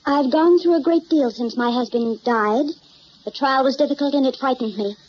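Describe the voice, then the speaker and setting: An actress playing a poised, wealthy widow in an old crime drama, formal, composed and courteous. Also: female voice, high voice, moderate pace, lightly acted. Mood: earnest. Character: poised, genteel, soft-mannered wealthy widow. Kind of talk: mid-century American crime drama acting. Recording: muffled, noisy old drama soundtrack.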